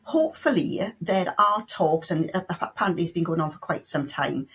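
Middle-aged south welsh woman chatting about teaching, low voice, bit husky. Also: animated, normal speed, fairly bright tone. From South Wales. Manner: chatty, with many restarts and playful quoted asides. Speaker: sign language tutor. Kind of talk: casual interview answers.

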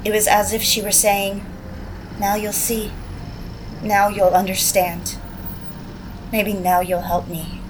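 A woman's voice: medium pitch, level tone, slow pace, pauses often, close to an everyday voice. Actress as young woman telling her diary, brooding and confessional, faint traffic-like noise behind. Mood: brooding, uneasy. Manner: confessional, dramatic, reflective. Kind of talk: scripted first-person drama narration.

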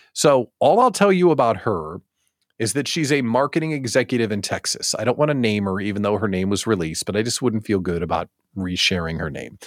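A man hosting a casual live show, talking straight to viewers in an animated, theatrical way with exaggerated emphasis. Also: lowish voice, dry recording, studio quality. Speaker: host of a daily live show for small business owners.

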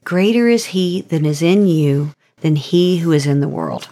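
A woman's voice: deep voice, expressive, measured pace, mellow. A woman host teaching in an earnest, conversational devotional style, often posing rhetorical questions as she explains. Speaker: host and storyteller.

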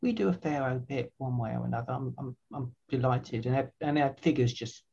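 A woman's voice: extremely low pitch, a little fast, gravelly, mellow, slightly rough, lo-fi sound. An older woman, earnestly answering interview questions with frequent restarts and repeated words. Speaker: website founder, guest.

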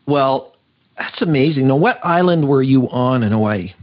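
A man's voice: medium pitch, animated, moderate pace, lo-fi sound. Chatty male podcast host, teasing. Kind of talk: podcast interview.

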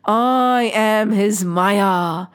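A woman's voice: medium pitch, drawn-out words, smooth, pitched below the performer's own voice. A woman voicing a mocking, all-powerful goddess in a storybook tale, making taunting, emphatic pronouncements. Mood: menacing prophecy.

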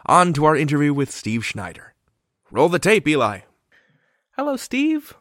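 A man's voice: a little high, wildly swooping pitch, moderate pace, fairly bright tone, dry recording, studio quality. A man hosting an audio drama podcast, introducing the segment and interviewing a guest.

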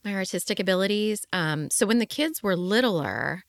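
A woman's voice: medium pitch, animated, moderate pace, creaky, no echo, studio quality. A middle-aged woman co-hosting a podcast, chatting casually and playfully with a fondness for puns. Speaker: podcast co-host. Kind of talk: casual chat.

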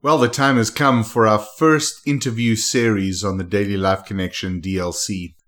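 A man with a lowish voice hosts a casual podcast interview. He talks with eager enthusiasm and rambles through restarts. His tone is informal and chatty. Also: steady tone, moderate pace, fairly bright tone, faint music behind. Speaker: podcast host.

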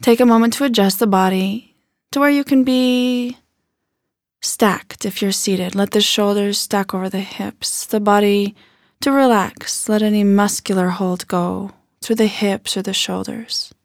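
A woman leading a guided body scan meditation in a gentle, unhurried manner with soft phrase endings. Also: mid-range voice, steady tone, dry recording, clean studio sound.

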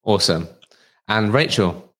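Low-voiced man hosting a podcast. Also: lively intonation, unhurried, a bit bright. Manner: conversational, restarts and fillers. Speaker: podcast host.

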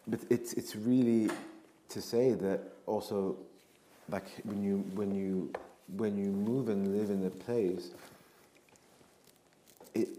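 A man gives a considered, halting contribution to a panel discussion. His voice is deep and flat in pitch, and the room around him is audibly echoey. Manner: halting, deliberate, with repeated words. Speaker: panel speaker. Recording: echoey room with faint noise.

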